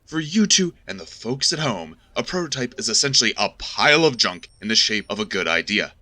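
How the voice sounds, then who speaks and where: Blustering male engineer for radio play, man acting, very sharp edge, swooping delivery, scornful and gruff. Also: medium pitch. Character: gruff, vain, ranting male ship's engineer. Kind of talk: audio drama acting.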